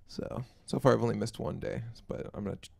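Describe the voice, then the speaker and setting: A young man, hoarse after being sick, chatting quickly and casually with his stream viewers from a small home music room into a mic on a stand. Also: dark tone, no room sound. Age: young adult. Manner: quick, low-key chatter between songs. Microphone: studio mic on a stand. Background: acoustic guitar.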